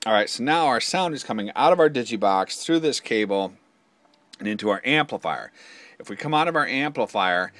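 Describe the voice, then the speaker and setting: A man's voice: mid-range voice, lively intonation, a little fast, fairly bright tone, dry recording. A man demonstrating a product in an informal, matter-of-fact, step-by-step instructional style. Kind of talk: informal hands-on instructional walkthrough.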